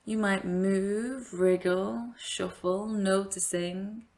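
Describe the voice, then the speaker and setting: A woman leading a guided meditation as a yoga teacher, giving gentle, invitational instructions with long pauses. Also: mid-range voice, fairly even intonation.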